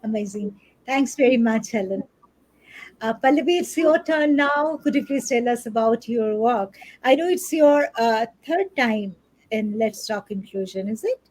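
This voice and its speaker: A woman's voice: on the high side, animated, a little slow, a little mellow, low-quality recording. A woman from India hosting an interview show, polite and formal, reading prepared questions. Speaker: host.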